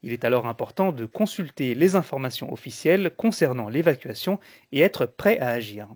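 A man co-hosting a radio information magazine, explaining things in a measured, presenter-like way. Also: mid-range voice, animated, a little mellow, no room sound, studio quality. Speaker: radio co-host.

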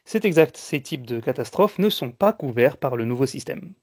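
A man co-hosting a radio information magazine, explaining things in a measured, presenter-like way. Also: mid-range voice, animated, darkish tone, dry recording, studio quality. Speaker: radio co-host.

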